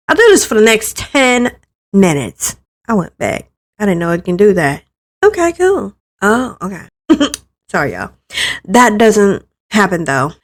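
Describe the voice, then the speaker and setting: A woman narrating a first-person story aloud in a conversational way, acting out the lines as she reads. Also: medium pitch. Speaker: visual novel player reading aloud.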